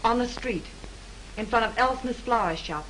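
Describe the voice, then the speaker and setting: A woman playing a quiet suspected actress. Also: a little high, moderate pace, lightly acted, low-quality recording. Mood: subdued. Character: quiet young actress under suspicion. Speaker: film actress. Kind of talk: 1930s film acting. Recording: faint hiss of 1930s film soundtrack.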